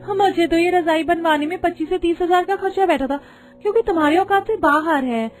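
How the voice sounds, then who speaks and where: A woman's voice: extremely high pitch, a little fast. A woman voicing a haughty, boastful rich neighbour who mocks others in a cartoon story. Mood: mocking. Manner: mocking, snooty.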